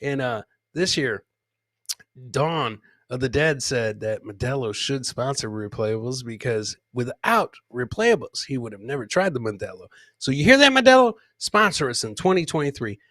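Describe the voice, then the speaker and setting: A man's voice: medium pitch, big pitch swings, moderate pace, a bit bright, no room sound. A man hosting a live comedy podcast, jokey and informal, repeating his phrases as he reacts to clips and chat. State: several beers in. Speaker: host.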